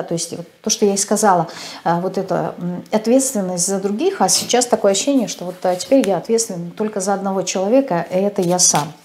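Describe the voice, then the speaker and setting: A woman's voice: medium pitch, a little mellow. A woman in her thirties gives a tarot reading, seated at a desk in a small room and picked up by a fixed camera across the table. Her delivery is hesitant, with many filler sounds and restarts. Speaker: tarot reader.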